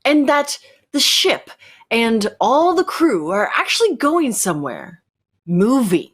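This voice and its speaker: A woman playing a knowing, imperious rebel captor who speaks deliberately and probingly in a radio sci-fi drama. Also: on the high side, sing-song, husky, full character voice. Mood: insistent. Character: commanding, knowing rebel captor challenging orthodox belief. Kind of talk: cold-read old-time radio sci-fi drama.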